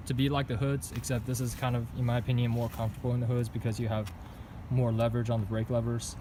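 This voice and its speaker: Male vlogger, lowish pitch, hesitant off-the-cuff explainer, recorded outside amid obvious traffic noise. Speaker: vlogger reviewing own bike setup. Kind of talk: casual unscripted product walkthrough. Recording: noisy outdoor recording with traffic rumble.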